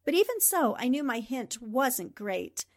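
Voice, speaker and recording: A woman playing a quick-witted, plucky newspaper reporter in a 1940s radio drama style. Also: sits high, big pitch swings, full character voice. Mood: explaining, amused.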